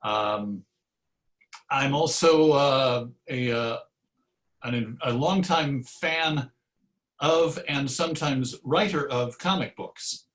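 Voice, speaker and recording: A man with a medium-pitched voice speaks as a guest in a conversational interview. He is reflective and measured, often pausing mid-thought before carrying on. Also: a bit bright. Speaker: interview guest, radio show host. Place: recorded virtual session.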